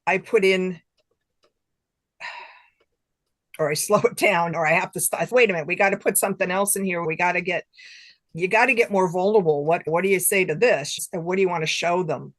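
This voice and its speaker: A woman's voice: lowish voice, normal speed, pauses often, a bit bright, no room sound. A middle-aged woman, an author being interviewed, chatting casually and thinking aloud in loose, informal sentences. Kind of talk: casual chat.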